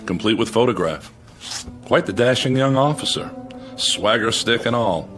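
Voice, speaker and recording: An actor playing an earnest, uncertain army major in a radio drama, speaking in a measured, deferential, thoughtful way. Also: male voice, mid-range voice, expressive, natural voice. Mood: businesslike, intrigued. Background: music.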